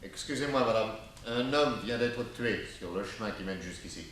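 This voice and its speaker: An actor playing an assured, courteous private detective in an articulate, theatrical 1960s French radio serial style. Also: male voice, lowish voice, normal speed, fairly bright tone, slight background noise, lightly acted. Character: famous male private detective, assured, indignant under accusation.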